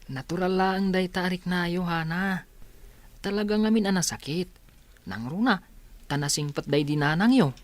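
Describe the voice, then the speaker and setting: Woman as young consoling friend, lower-pitched, dry recording, radio drama. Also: moderate pace, long pauses, no room sound, natural voice. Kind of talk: Ilocano radio drama acting.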